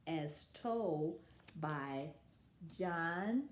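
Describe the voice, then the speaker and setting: A woman introducing and reading a children's picture book aloud, with deliberate pauses. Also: low voice, animated, slight background noise. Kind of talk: read-aloud children's story. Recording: echoey room.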